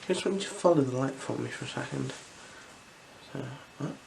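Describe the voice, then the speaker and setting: A gentle, unhurried ASMR performer speaking softly and slipping into whispers while role-playing an eye examiner. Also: medium pitch, expressive. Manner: gentle, unhurried, soothing instructions. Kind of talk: ASMR role-play, soft-spoken with whispers. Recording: clear background noise.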